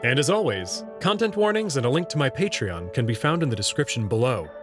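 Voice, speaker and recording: A man's voice: mid-range voice, big pitch swings, a little fast, over music. A man narrating a scripted story expressively as the host of a visual novel reading channel.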